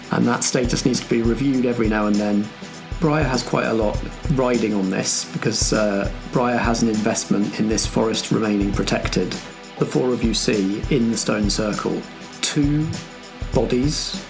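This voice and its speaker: A man's voice: mid-range voice, creaky. A man narrating a tabletop game as game master, setting the scene.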